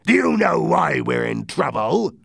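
A man's voice: mid-range voice, lively intonation, a little slow, vocal fry, a bit bright, breathy edge, dry recording, clean studio sound, voice pushed up, exaggerated voice. A man voicing a wily, commanding pirate leader in a storybook reading. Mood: indignant, commanding.

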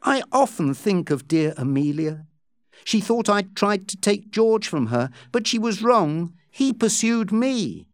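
A flirtatious woman of storybook fiction , acted out by a man, speaks in a high, swooping voice. She is theatrical and coy, drawing out her words to win pity. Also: very animated, measured pace, dry recording, exaggerated voice. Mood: wistful. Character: flirtatious, self-pitying adventuress Becky, a grown woman.

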